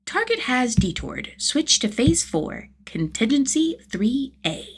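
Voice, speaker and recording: A woman's voice: high voice, sing-song, slow pace, slightly rough, voice pushed up, full character voice. A woman voicing a small, scheming boy hero in a story reading, clipped and formal like mission control. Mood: coolly tactical.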